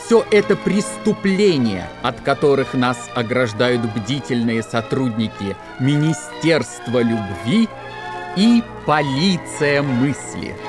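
A man's voice: medium pitch, lively intonation, takes it slowly. An actor playing a stern male party official, pompously declaiming propaganda in an audio drama with music behind him. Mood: stern, triumphant. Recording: clear music bed under voice.

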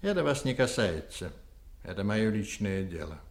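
A male actor playing a calculating, persuasive Jesuit priest with a measured, insinuating delivery in a historical radio drama. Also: lowish voice, very animated.

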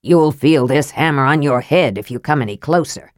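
Storybook dwarf, old male warrior, grumpy, gruff, very low; played by a female reader. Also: normal speed, dry recording, clean studio sound, lightly acted. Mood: wary, threatening. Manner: gruff, dour, snorting.

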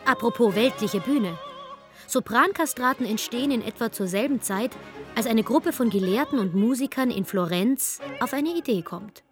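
A woman's voice: on the high side, animated, moderate pace. A woman narrating a scripted radio documentary in a wry, sardonic way. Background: music.

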